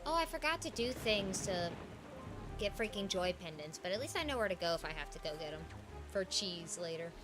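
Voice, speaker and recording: A young adult woman, a game streamer, chatting playfully while she plays and deliberately pitching her naturally high voice lower. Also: big pitch swings. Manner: playful and teasing. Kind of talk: casual livestream chat while gaming. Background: game music.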